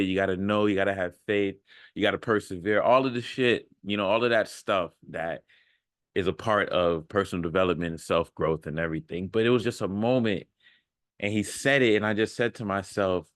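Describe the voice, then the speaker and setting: A man's voice: fairly low pitch, fairly bright tone, no echo. A man in his thirties giving an off-the-cuff, informal motivational monologue, slangy and leaning on emphatic repetition, recorded close on a large microphone. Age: 30s. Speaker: self-improvement content creator.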